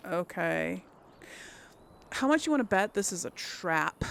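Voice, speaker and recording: A woman's voice: on the low side, very animated, a little slow, vocal fry, a bit bright, slight background noise. A woman giving casual game commentary to viewers, exclaiming and muttering her reactions as she plays. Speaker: let's play host. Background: game music.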